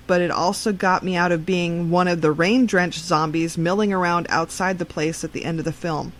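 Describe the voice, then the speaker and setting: A woman with a fairly low voice hosts a radio segment. She reads news in an informal way, measured and leaning on certain words for emphasis. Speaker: radio show host.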